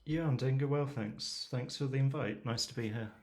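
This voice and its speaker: A man speaking as a researcher guest on a remote interview, answering in a measured, explanatory way with frequent ums. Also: mid-range voice.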